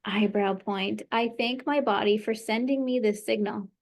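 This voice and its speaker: A woman's voice: mid-range voice, moderate pace. Encouraging woman guiding a tapping session. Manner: encouraging, leads phrases for repeating. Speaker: tapping practitioner.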